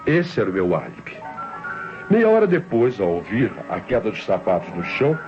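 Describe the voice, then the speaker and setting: An actor playing a cold-blooded, scheming nephew who narrates his murder plot in deliberate, theatrical 1960s radio crime drama style. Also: fairly low pitch, wildly swooping pitch. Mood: calculating, confiding. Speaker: narrator and protagonist. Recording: muffled old radio recording with music.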